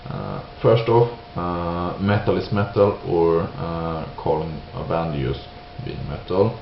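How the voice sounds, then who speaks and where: Very deep-voiced, halting Swedish man. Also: fairly even intonation. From Sweden. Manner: halting, hesitant, searching for words. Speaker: video creator giving opinions. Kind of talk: unscripted opinion monologue. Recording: roomy, dull lo-fi sound with hiss.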